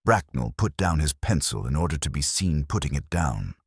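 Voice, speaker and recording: A man narrating a detective story in a measured, dry, formal storytelling manner. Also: very deep voice, very animated, husky, no room sound. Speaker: audiobook narrator.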